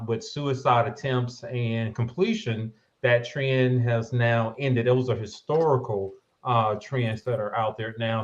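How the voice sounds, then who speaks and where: A man hosting a panel talk show, speaking in a measured way with frequent pauses. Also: mid-range voice, highs cut off.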